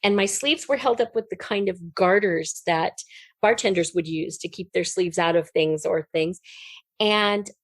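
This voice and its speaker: Animated woman storyteller, dry close sound. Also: on the low side, measured pace, dry recording. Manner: animated, acts out quoted remarks. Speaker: guest, writer. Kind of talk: personal storytelling in an interview.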